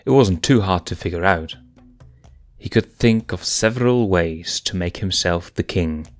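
Deep-voiced man reading a fan story. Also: unhurried, dry recording, clean studio sound, soft background music. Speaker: narrator. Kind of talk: fanfic narration.

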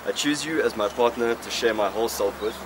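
A man's voice: mid-range voice, fairly quick. A young man reading his wedding vows at an outdoor ceremony, earnest with a light joking touch. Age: young adult. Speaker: groom. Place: outdoor wedding under trees. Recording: loud outdoor noise.

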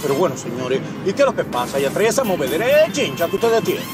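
A man's voice: on the high side, big pitch swings, quick pace. An actor playing a fussy, harried driver who scolds his passengers with a Dominican accent. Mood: scolding. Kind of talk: comedy film acting. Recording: loud music, vehicle sounds.

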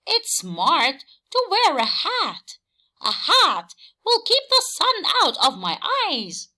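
A woman voicing an eager, choosy male horse in a children's storybook, with drawn-out, expressive delivery. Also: sits high, voice pushed up, heavily acted.

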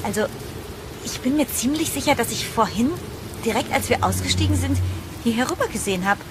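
A woman's voice: on the high side, animated, brisk, background noise, close to an everyday voice. An actress playing an uneasy young woman traveller in a horror audio drama, with an earnest, dramatic delivery. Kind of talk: German horror audio drama acting and narration. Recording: voice over prominent music. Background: music.

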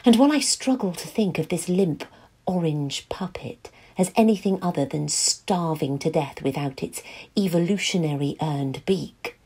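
Weary, wry mother, measured pace. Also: fairly low pitch, full character voice. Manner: confiding, drifting into hushed breathy whispers. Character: weary, wry, anxious mother of a young boy. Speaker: actor in an audio play. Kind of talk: intimate dramatic monologue.

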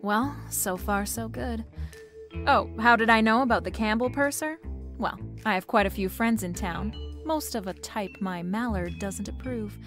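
A woman's voice: on the high side, measured pace, fairly bright tone, faint music behind. A woman playing a brash, wisecracking female private detective in a noir radio drama, wry and slangy. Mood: wry narrating. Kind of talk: noir radio drama acting and first-person narration.

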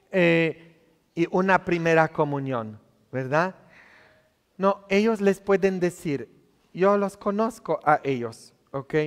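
A priest in his thirties, not a native Spanish speaker, preaching a conversational homily full of tag questions from the altar of a church. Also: a little high. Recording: long church reverb.

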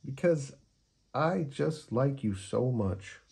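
Man playing a bashful, mellow male unicorn. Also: medium pitch, expressive, takes it slowly, creaky, pitched above the performer's own voice. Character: bashful, insecure male unicorn, tender and earnest.

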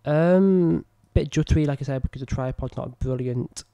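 Young man, croaky from illness, rambling self-critical commentary, close desk mic, small room. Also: fairly high pitch, normal speed, warm tone, dull audio. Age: young adult. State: ill, croaky voice. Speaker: filmmaker reviewing his own work. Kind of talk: casual commentary over footage. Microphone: studio mic on a boom arm close to mouth.

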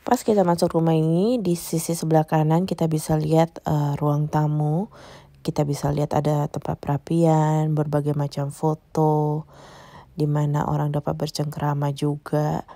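A woman's voice: low voice, little pitch movement, moderate pace, mellow, no room sound. A woman narrating a casual travel vlog tour, explaining things directly to viewers. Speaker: travel vlogger.

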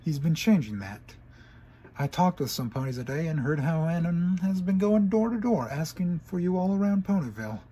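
A man's voice: a little high, animated, normal speed, dark tone, slightly rough, pitched above the performer's own voice. A man voicing an earnest, scolding but caring young female pony scholar.

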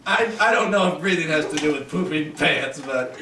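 A man voicing an excitable, bossy toy-mouse inventor who stammers and repeats himself in an amateur comedy puppet show. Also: fairly high pitch, moderate pace. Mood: eager. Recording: distant camera mic, echoey room, faint noise.

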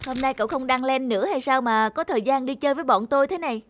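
A woman's voice: high-pitched, fast talker, fairly bright tone, no echo, soft background music. A woman voicing a proud, easily offended cartoon schoolboy who speaks bluntly and reproachfully. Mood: resentful. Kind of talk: cartoon dialogue.

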